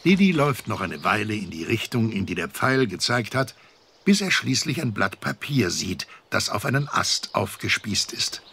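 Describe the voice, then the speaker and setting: A man's voice: fairly low pitch, sing-song, moderate pace, vocal fry, fairly bright tone, slight background noise, exaggerated voice. A middle-aged man narrating a children's audio adventure as a genial storyteller. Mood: genial, wry. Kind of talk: scripted narration.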